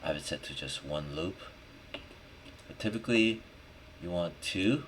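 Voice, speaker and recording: A man with an extremely low voice talks slowly through a 3D modeling tutorial. He thinks aloud in short, muttered, slangy fragments while he works. Clear background noise runs behind him, with typing and mouse clicks. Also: lively intonation, a bit bright. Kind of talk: casual screen-recorded tutorial, thinking aloud while working.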